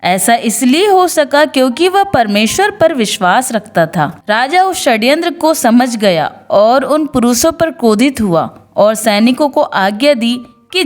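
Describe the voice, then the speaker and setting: A woman narrating scripted Bible stories in an earnest devotional storytelling style. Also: a little high. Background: background music.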